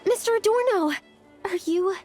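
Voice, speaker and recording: A woman's voice: very high-pitched, moderate pace, a little mellow. An actress playing an attentive, clinical female researcher in a video game. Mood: concerned. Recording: music behind the voice.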